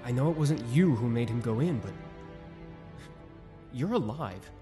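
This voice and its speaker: A man's voice: medium pitch, lively intonation, a little slow, music in the background, close to an everyday voice. A man voicing a grieving young soldier who is defensive and sarcastic. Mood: bitter.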